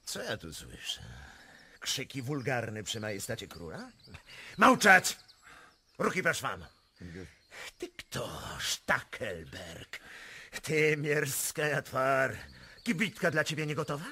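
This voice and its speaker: An actor playing a volatile Russian prince in a radio drama, theatrical, moving between barked orders and courtly flattery. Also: male voice, medium pitch, slow, long pauses, full character voice. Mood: domineering, furious. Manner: theatrical, swinging from barking orders to courtly smoothness.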